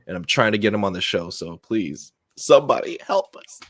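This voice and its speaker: A man with a lowish voice hosts a podcast, swinging his pitch up and down as he talks. His delivery is slangy and excitable, full of casual asides. The sound is dry and close. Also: normal speed, dry recording. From United States. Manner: slangy, excitable, laughs easily. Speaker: podcast host.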